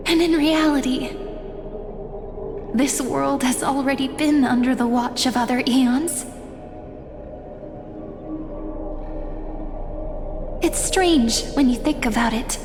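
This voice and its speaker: A playful, wistful young heroine. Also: female voice, high-pitched. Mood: probing. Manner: teasing and gentle. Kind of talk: game cutscene voice acting. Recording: long reverb with faint music.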